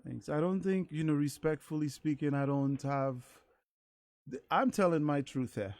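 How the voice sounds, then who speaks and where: A man's voice: fairly high pitch, moderate pace, a little mellow, no room sound. A man who is a singer guest on a radio show, talking candidly and hesitantly with many fillers. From Saint Thomas. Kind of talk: casual interview chat. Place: radio studio.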